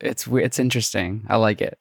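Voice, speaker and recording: A man's voice: on the low side, steady tone, brisk, vocal fry, breathy edge, no echo, studio quality. A man hosting a casual chat, talkative and eager to recommend things.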